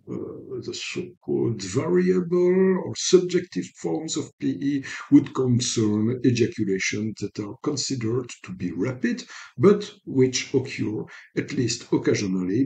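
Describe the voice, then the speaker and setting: A middle-aged man from Belgium with a French accent, a psychologist giving a careful, measured recorded lecture over slides from a desk webcam at home. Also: mid-range voice, creaky, dark tone, poor audio. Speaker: psychologist and sex therapist.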